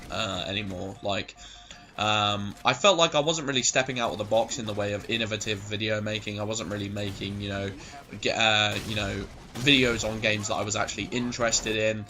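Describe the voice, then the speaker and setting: A man's voice: on the low side, noisy background. Rambling, sweary gaming guy. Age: 20s. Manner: rambling, swears a lot, goes off on tangents. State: voice going a bit hoarse. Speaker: gaming commentator. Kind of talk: rambling casual gameplay commentary.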